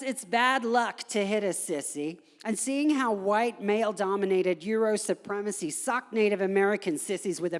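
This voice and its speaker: A woman giving a theatrical live reading of a humorous article as a guest performer at an event. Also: medium pitch. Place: indoor gallery event space, before an audience. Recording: echoey hall recording.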